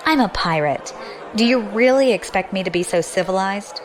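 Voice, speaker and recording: A woman's voice: mid-range voice, lively intonation, normal speed, crowd noise, background noise, lightly acted. An actress playing a defiant, scheming young woman pirate in an audio drama, sardonic and playful. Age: young adult. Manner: sardonic, playful, feigned sweetness at times.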